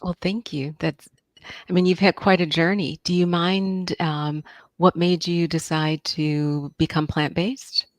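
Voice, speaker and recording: A woman's voice: on the low side, steady tone, unhurried, no echo. A woman hosting a live-streamed interview, opening with a scripted welcome and asking questions. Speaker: host.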